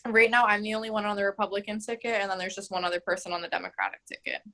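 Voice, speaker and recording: A woman's voice: mid-range voice, fairly even intonation, rapid-fire, pushed and bright. A woman in her twenties, a mayoral candidate, answering interview questions in a chatty way full of "like" and "um.". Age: 20s. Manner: chatty, frequent "like" and "um", laughs easily.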